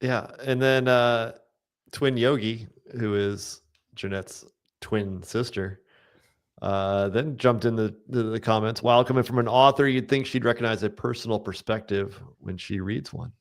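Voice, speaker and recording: A man's voice: lowish voice, measured pace. A man co-hosting a podcast, plainly recounting articles and reading out comments, with many ums. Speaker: podcast co-host. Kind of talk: casual chat.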